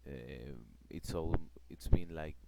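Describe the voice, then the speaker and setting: A man from Peru with a Peruvian Spanish accent, a native Spanish speaker explaining his trading plan in a casual vlog. He talks haltingly, searching for words, with many fillers and restarts. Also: a bass voice, vocal fry. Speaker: forex trader vlogger. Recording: loud background noise.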